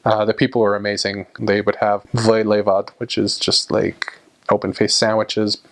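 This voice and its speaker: Relaxed rambling man, low voice. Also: normal speed. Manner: relaxed, rambling, frequent fillers and restarts. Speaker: vlogger recounting time abroad. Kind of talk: casual talking to camera.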